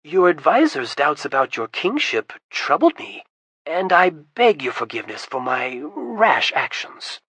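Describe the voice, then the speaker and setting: Storybook wizard, calm and courtly, voiced by the narrator, bright tone with a slightly breathy edge. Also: medium pitch, measured pace, dry recording, exaggerated voice. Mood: courteous. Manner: composed, courteous, persuasive. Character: calm, smooth-talking adult wizard adventurer.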